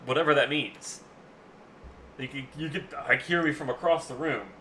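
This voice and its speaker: A young man with a booming voice, rambling through a casual story as a streamer, swearing freely with lots of fillers. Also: moderate pace, bright, forceful tone, slight background noise.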